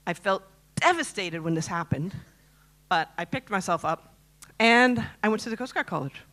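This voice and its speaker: A woman in her fifties from Canada speaks on a conference stage. She tells a personal story in a chatty way and keeps asking the crowd questions. Her voice sits at an ordinary pitch for a woman. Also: a bit bright. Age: 50s. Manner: chatty, asks the audience questions. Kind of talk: personal story talk to a live audience.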